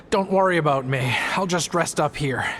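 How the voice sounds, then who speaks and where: A man's voice: fairly high pitch, creaky, a bit bright, slight background noise, exaggerated voice. An actor playing a weary, guilt-ridden but stoic man in a video game, sounding tired and subdued. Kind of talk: scripted video game voice acting.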